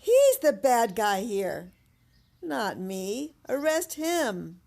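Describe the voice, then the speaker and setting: A woman's voice: a little high, big pitch swings, drawn-out words, vocal fry, a little mellow, full character voice. An actress playing a defiant, foul-mouthed young woman captive in an audio drama, sarcastic and tough. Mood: indignant.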